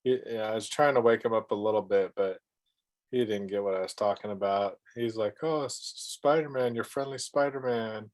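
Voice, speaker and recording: A man chatting calmly in a casual group conversation, telling plain anecdotes. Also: lowish voice, a little fast. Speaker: Bible study group member. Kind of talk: casual online group chat.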